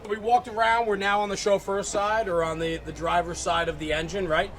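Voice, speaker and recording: High-pitched man, emphatic walkaround host. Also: level tone, fairly quick, fairly bright tone, faint music behind. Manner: emphatic, engaged, peppers talk with "right?". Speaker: host of a fire apparatus walkaround. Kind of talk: enthusiastic unscripted walkaround commentary. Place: outdoors at a busy convention. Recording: outdoor crowd and vehicle noise.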